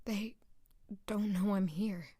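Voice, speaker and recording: An actress playing an earnest, nervous young palace maid who narrates and speaks in a first-person audio drama. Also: female voice, medium pitch, slow, mellow, slightly rough, dry recording, studio quality. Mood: alarmed.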